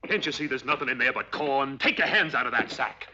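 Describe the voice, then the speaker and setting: An actor playing a defensive, indignant storekeeper in a western film, protesting and blustering. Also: male voice, medium pitch, expressive, brisk, natural voice. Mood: wary, protesting. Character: defensive, indignant frontier storekeeper accused of gun-running. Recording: dull old film soundtrack, faint noise.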